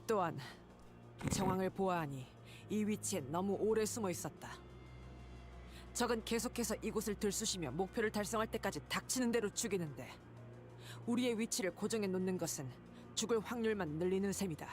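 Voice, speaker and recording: Woman playing a stern, very fast-talking military strategist. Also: mid-range voice, expressive, soft background music, natural voice. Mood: commanding. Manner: formal, commanding, clipped. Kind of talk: Korean video game voice acting.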